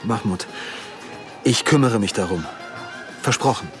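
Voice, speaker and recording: A man's voice: fairly low pitch, measured pace, over music, close to an everyday voice. An actor playing an evasive, defensive male police detective in a radio drama, speaking colloquially. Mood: placating, uneasy.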